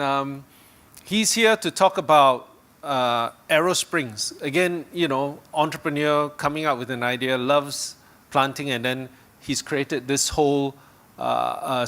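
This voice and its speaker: A man's voice: on the high side, a little slow, fairly bright tone. Hesitant man introducing a speaker. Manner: hesitant, many fillers. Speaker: event host.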